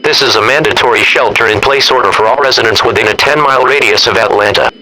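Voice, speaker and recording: A man's voice: mid-range voice, fast talker, sharp tone. A synthetic male text-to-speech voice reading a scripted emergency broadcast announcement in a formal, impersonal manner. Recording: noisy radio-style emergency broadcast.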